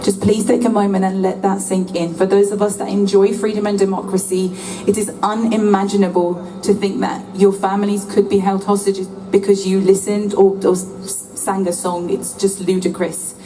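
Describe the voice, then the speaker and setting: A woman's voice: mid-range voice, level tone, normal speed, warm tone. A woman hosting a rally, introducing speakers in a plain, heartfelt way. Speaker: rally host. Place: outdoor street rally. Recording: distant mic with outdoor noise.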